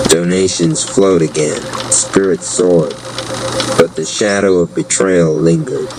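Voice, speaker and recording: A man with a very low voice narrates a dramatic tale. He moves slowly and with measure from one sentence to the next. There is clear noise behind the voice. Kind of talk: scripted dramatic audiobook narration.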